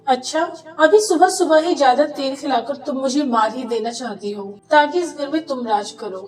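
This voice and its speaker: A woman's voice: high-pitched, moderate pace, a little mellow, far from the mic, lightly acted. A woman voicing a suspicious, sarcastic middle-aged mother-in-law who scolds in a cartoon story.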